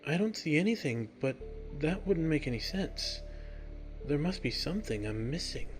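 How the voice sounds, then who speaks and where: A man's voice: fairly high pitch, big pitch swings, normal speed, soft background music, voice pushed up. A man voicing a friendly, curious young bookish woman in a story reading, given to puzzled questions. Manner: thinks aloud in puzzled questions.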